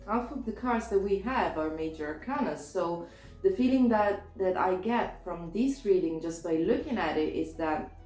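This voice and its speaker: A woman with a sore throat, a little hoarse, giving a casual tarot reading in a chatty, hesitant way with many restarts. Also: medium pitch, darkish tone, echoey room, low-quality recording. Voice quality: slightly hoarse. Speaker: tarot reader.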